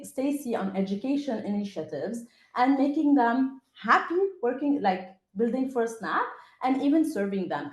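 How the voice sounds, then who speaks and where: A woman's voice: mid-range voice, darkish tone. Lebanese woman in an echoey room. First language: Arabic. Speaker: panelist, tech programme lead. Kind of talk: self-introduction on a student panel. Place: indoor room, online video call. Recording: roomy, echoey call audio.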